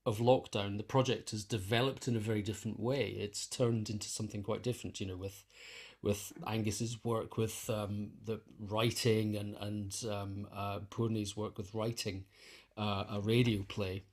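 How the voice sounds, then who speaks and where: A man's voice: fairly low pitch, level tone, normal speed. A man being interviewed, explaining his work in a casual conversational way, thinking aloud and repeating phrases as he goes. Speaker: interview guest, composer and conductor.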